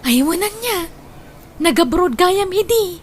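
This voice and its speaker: A woman's voice: extremely high pitch, expressive, a little slow, slight background noise. A woman playing a friendly, hard-up friend seeking work in a comedy drama. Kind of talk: Ilocano comedy drama acting.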